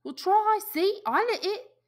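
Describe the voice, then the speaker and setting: A woman voicing an anxious, stubborn boy in an audiobook, shrill, excited and insistent. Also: measured pace. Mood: insistent, defensive. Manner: excited, insistent, ungrammatical schoolboy talk.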